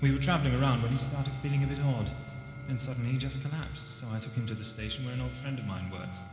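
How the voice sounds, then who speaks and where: A man's voice: mid-range voice, fast, close to an everyday voice. A man playing a wry eccentric adventurer, reverberant hall. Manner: musing, wry, theatrical. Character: inquisitive, wry eccentric time-travelling doctor, male. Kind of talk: science fiction audio drama acting. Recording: reverberant hall with music behind.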